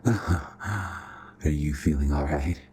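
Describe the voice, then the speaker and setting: A man playing a charming, possessive royal vampire in an intimate ASMR roleplay, with a breathy, hushed, courtly and menacing delivery. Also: very slow, slight background noise, full character voice. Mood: apologetic, soothing. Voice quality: breathy whispering, very low voice.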